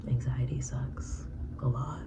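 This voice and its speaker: A woman in her thirties whispering a personal vlog, halting and reflective. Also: a bass voice. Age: 30s. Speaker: vlogger.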